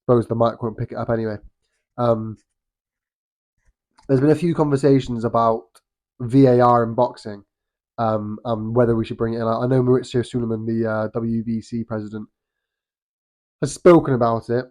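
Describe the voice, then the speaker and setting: A man's voice: mid-range voice, fairly even intonation, moderate pace, long pauses, a little mellow. British man with a nasal cold. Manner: rambling, frequent restarts and ums. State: has a cold, slightly nasal. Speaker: boxing vlogger. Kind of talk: casual opinion monologue.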